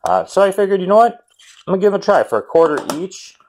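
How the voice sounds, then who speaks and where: A man, chatting casually and rambling about a game haul with lots of ums and self-corrections; he says his voice runs fairly high. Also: expressive, fast, darkish tone, slight background noise. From Wisconsin, US. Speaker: retro game collector vlogger.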